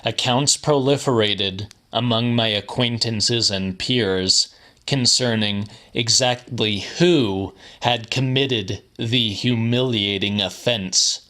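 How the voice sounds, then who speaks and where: Slow, bright-voiced male audiobook narrator. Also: mid-range voice. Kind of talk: dramatic scripted narration of weird fiction.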